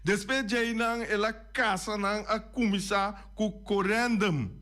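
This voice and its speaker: High-voiced, slow-talking man on radio. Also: steady tone, sharp tone. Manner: emphatic, repeats and lists points. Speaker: radio show host. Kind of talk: radio talk commentary. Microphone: studio radio microphone.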